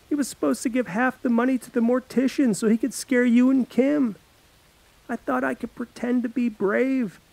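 A man's voice: very high voice, vocal fry, a little mellow, no echo, voice pushed up, heavily acted, poor audio. A man voicing a panicked, guilt-ridden seventeen-year-old boy in a read-aloud horror story.

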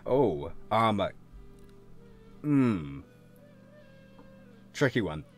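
A man with a fairly low voice chats informally as an interview guest. He works through his answers out loud, with music playing faintly behind him. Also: very animated, very slow. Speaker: animation director being interviewed. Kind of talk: casual interview chat.

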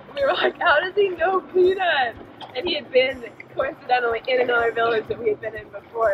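A young woman vlogger telling a lively anecdote to camera, acting out what other people said. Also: on the high side, dull audio. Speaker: sailing vlogger. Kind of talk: casual storytelling to camera.

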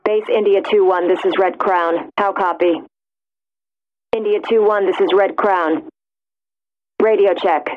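A woman's voice: mid-range voice, fairly even intonation, unhurried, long pauses. A woman playing a clipped, composed military command dispatcher in a video game, heard through a radio filter. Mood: composed, official. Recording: thin, phone-like radio transmission.

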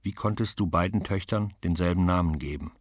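A man reading aloud and voicing a tough, blunt, hardened woman who talks in a forceful, scolding way. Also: low voice, brisk, slightly rough, dry recording, studio quality. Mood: stern, forceful.

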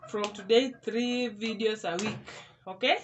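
A woman's voice: fairly high pitch, a little slow, lo-fi sound, slight background noise. A young woman from Kenya with a Kenyan accent, chatting casually to the camera in a Q&A vlog from a small room. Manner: chatty, animated hand gestures. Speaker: YouTuber. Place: small indoor room, in front of a door.

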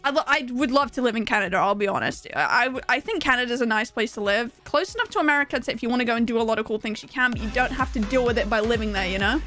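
A young English woman streaming a game, talking fast and chatty with frequent laughs. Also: fairly high pitch, lively intonation, sharp tone, faint music behind. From England. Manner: fast, bubbly, laughs often. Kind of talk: casual live-stream chat.

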